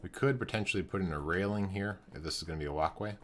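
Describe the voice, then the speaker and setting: Young male streamer, very low voice turning hoarse, casual think-aloud commentary at a desk mic, light keyboard noise. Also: fast, fairly bright tone. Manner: thinking aloud while building, greeting chat viewers. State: losing his voice. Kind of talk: live gameplay commentary.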